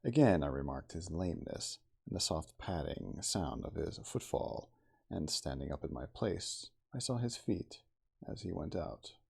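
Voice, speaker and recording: A man with a soft, gravelly, husky voice giving a measured, expressive ASMR reading of a classic novel. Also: deep voice. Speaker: audiobook-style reader.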